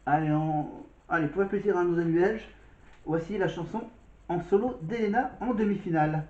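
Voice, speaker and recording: A man's voice: high-pitched, normal speed, a little mellow. A man hosting a vlog, talking casually and directly to viewers with quiz questions and commentary, often repeating himself. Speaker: vlog host. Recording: dull, roomy sound.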